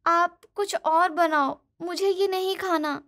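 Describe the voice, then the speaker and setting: A woman acts out a young sister-in-law in a cartoon tale. The voice is extremely high and girlish, with a frank manner. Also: steady tone, takes it slowly, a bit bright, heavily acted. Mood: complaining. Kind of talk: animated moral story dialogue.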